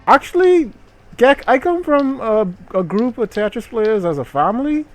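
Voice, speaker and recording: A man's voice: extremely high pitch, big pitch swings, normal speed, soft background music. A man hosting a game livestream in a theatrical, mock-grandiose way, with muttered, sweary asides. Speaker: livestream host. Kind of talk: theatrical game-stream commentary.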